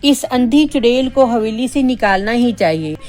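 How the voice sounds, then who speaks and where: Female narrator for children's cartoon tales: higher-pitched voice, scripted storytelling, music bed behind. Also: animated, normal speed, a little mellow. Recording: background music.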